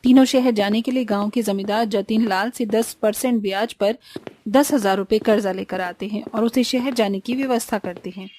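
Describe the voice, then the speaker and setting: A woman's voice: mid-range voice, moderate pace, darkish tone. A woman narrating a scripted cartoon family story.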